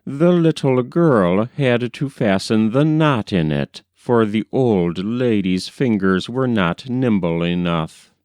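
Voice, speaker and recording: A man reading a literary story aloud as an audiobook narrator, in a measured, expressive storytelling manner. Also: mid-range voice, clear, resonant tone, no echo, studio quality.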